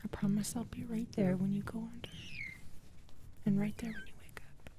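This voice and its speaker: A woman voicing an affectionate, playful female alien lover in an intimate audio roleplay, speaking softly and breathily with a teasing manner. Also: mid-range voice, steady tone, moderate pace, noisy background, natural voice. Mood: playful, loving. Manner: soft, breathy, teasing whispering.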